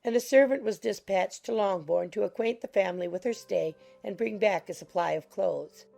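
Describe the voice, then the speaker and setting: A woman's voice: medium pitch, normal speed, creaky, mellow. A woman reading scripted literary narration as an audiobook narrator. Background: music.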